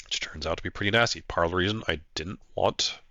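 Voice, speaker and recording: Stuffed-up guy with a flu, low gravelly voice, rambling through his tabletop game, dry close mic. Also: fast, bright, forceful tone, no echo. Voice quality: nasal, stuffed-up. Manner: thinking aloud, hesitant with fillers. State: congested from a flu. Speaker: player narrating his own battle report. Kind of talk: casual play-by-play commentary.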